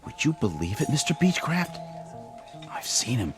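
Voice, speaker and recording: A man's voice: mid-range voice, big pitch swings, normal speed, a bit bright, soft background music. An actor playing an eager, clumsy, gullible male coworker who talks in an earnest, folksy, emphatic way in a television drama. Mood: awed, confiding.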